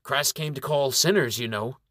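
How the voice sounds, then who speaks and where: A man reading a storybook voices a cheerful, wise old male reverend giving gentle advice. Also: mid-range voice, moderate pace, dry recording, studio quality, lightly acted. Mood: advising. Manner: gentle, advising.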